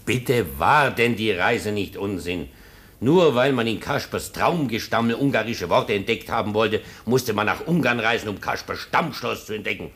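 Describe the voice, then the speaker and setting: An actor playing a stern, proud baron and guardian in a German radio play, speaking formally with dry disapproval. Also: male voice, fairly low pitch, animated, moderate pace, a bit bright. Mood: irritated.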